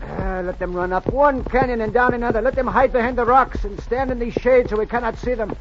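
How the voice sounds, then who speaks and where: A man's voice: very high voice, a little fast. An actor playing a weary, grumbling Mexican cattleman in a 1940s radio drama. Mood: exasperated. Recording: dull, noisy vintage radio recording.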